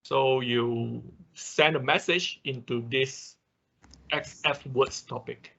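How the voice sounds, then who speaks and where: A man's voice: medium pitch, fairly even intonation, slow, gravelly, pushed and bright, slightly rough. A man leading an online class as instructor, explaining technical steps casually and often checking in with short confirming tags, heard over a video call. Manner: frequent confirming tags like "right" and "okay". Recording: dull lo-fi online call audio.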